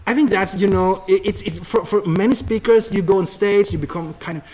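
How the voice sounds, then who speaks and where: A man with a Norwegian accent telling stories conversationally as an interview guest, often halting and restarting his words. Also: high voice, a little mellow. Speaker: public speaker and seminar leader, interview guest.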